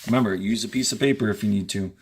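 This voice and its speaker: A man who teaches fourth grade, reading a textbook aloud with deliberate emphasis on key words and short instructional asides. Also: on the low side, husky.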